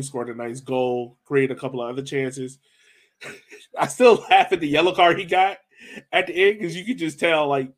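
A man with a medium-pitched voice hosts a sports podcast. He talks informally, as in a relaxed chat about the team. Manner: informal, conversational. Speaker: podcast host. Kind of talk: casual sports discussion.

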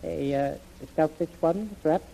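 A man's voice: a little high, a little slow, gravelly, mellow. An actor playing a polite, cautious, suspicious man with hesitant, formal speech in an old-time radio adventure. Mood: musing. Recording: faintly noisy old radio recording.

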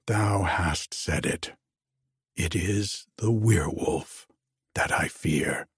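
A man's voice: low-pitched, takes it slowly, husky, no room sound, clean studio sound, pitched below the performer's own voice, full character voice. A man voicing an anguished young lover in a storybook tale, pleading fearfully in archaic, tremulous speech. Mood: haunted, confiding.

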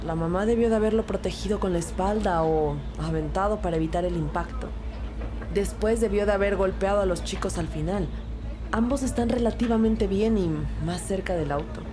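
A woman playing a brisk police detective who narrates her own case in a scripted audio drama with measured delivery. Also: medium pitch, background noise, lightly acted. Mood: serious, focused. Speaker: narrator and lead actor. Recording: loud music bed under voice. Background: loud music.

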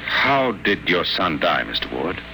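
An actor playing a wry, hard-boiled private investigator, deadpan and wisecracking, in the noir style of old-time radio drama. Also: male voice, sits low, measured pace, creaky, a little hiss or hum, heavily acted, rough recording. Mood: cool, confident. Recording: old radio recording with loud music.

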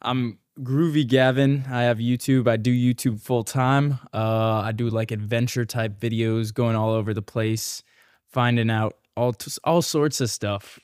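Young man, guest, relaxed, halting, mid-pitched, dry close mic. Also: smooth, dry recording. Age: 20s. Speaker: youtuber guest. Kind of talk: casual chat.

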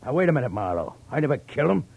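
A man playing a defensive, cornered American thug in a 1940s radio crime drama, blustering and slangy in a gravelly, husky voice. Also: medium pitch, very animated, racing, darkish tone, exaggerated voice. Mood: cornered, pleading. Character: defensive muscle-bound male thug, tough but cornered.

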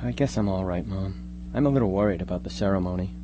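A man's voice: low-pitched, fast, highs cut off, background noise, natural voice. A man playing an anxious, earnest young son in a live radio drama. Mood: worried, earnest. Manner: earnest, worried.

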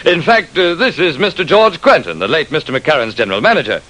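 A man's voice: a little high, expressive, fairly quick, a bit bright. A man playing a formal, businesslike senior law partner in an old-time radio drama. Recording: vintage radio recording.

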